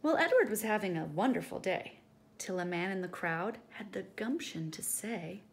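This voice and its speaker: A woman reading a children's picture book aloud as a storytime teacher, expressive and speaking directly to young listeners. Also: medium pitch, normal speed, a little hiss or hum.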